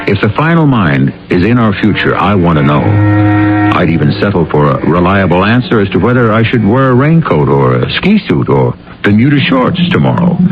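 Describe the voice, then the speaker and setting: A man hosting an old-time radio mystery show, narrating in a wry, droll and theatrical way. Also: fairly low pitch. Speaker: host. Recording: muffled radio recording, loud music.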